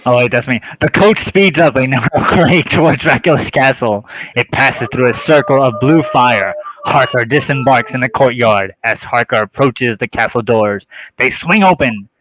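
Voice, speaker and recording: A man's voice: medium pitch, moderate pace, a bit bright, poor audio. A man reading stage directions as scripted narration for an amateur drama table read.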